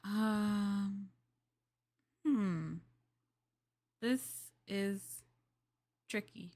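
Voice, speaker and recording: A woman's voice: mid-range voice, very slow, a little mellow. A young woman chatting in an ASMR maid roleplay. She rambles playfully, giggles and hesitates with fillers while speaking to the listener as her master. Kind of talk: soft casual ASMR roleplay chat.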